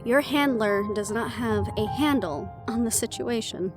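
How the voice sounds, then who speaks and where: A woman's voice: on the high side, measured pace, close to an everyday voice. A woman playing a worried, wry half-banshee in an intimate fantasy audio roleplay, confiding and musing as she talks. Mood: wry, fond. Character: young female half-banshee siren, worried, wry, secretly vulnerable. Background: background music.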